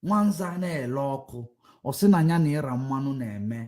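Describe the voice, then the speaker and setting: A man's voice: expressive, fairly quick. Combative man hosting a livestream. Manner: combative, repetitive, swings between loud outbursts and low muttering. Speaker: livestream host. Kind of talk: casual political commentary livestream.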